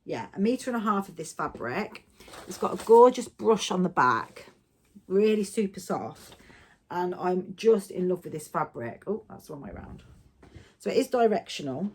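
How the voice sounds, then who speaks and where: A woman's voice: on the low side, expressive, moderate pace, a little hiss or hum. A woman talking casually about her sewing plans, a little nasal and stuffy from a cold, chatty and given to rambling. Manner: chatty, rambling, self-correcting. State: has a cold, slightly nasal and coughing. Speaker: sewing vlogger. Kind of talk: casual vlog chat.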